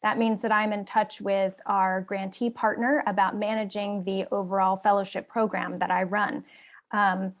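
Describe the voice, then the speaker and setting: A woman who manages an exchange program, answering a question on a webinar panel with hesitant pauses and many ums. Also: medium pitch, little pitch movement. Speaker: civil service program manager on a career panel.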